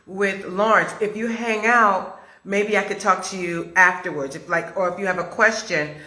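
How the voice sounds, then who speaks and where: A woman's voice: mid-range voice, steady tone, normal speed, bright, forceful tone, rough recording. A woman hosting a casual live-stream chat interview over a video call, talking in an effusive, gushing way. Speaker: host of a live chat interview, audio drama maker.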